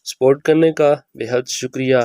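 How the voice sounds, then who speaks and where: A man's voice: medium pitch, steady tone, moderate pace, mellow, no echo. A man hosting a how-to tutorial, speaking instructionally and repeating each step as he goes. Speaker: video host giving an app tutorial.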